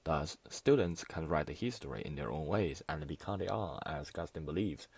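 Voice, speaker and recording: A man's voice: a bass voice, animated, moderate pace, a little mellow, breathy edge, no echo. A young man from China, reading a scripted personal statement as a student. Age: young adult. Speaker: student speaker. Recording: dry, dull lo-fi microphone.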